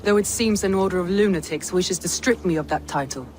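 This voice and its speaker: An actress voicing a proud, resolute Norse warlord in a video game cutscene, speaking in a measured, guarded way. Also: female voice, fairly low pitch, darkish tone, slight background noise, natural voice, poor audio. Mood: determined. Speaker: Norse lord of a city.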